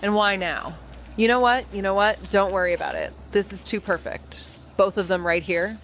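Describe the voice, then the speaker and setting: A woman's voice: medium pitch, moderate pace, a bit bright, a little hiss or hum, lightly acted. An actress playing a fierce, sarcastic young detective in a noir audio drama, blunt and rambling as she tells her story. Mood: sardonic, agitated. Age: young adult. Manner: sardonic, blunt, rambling, self-interrupting. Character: Amy, fierce sarcastic foul-mouthed young female detective. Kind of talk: first-person noir audio drama narration.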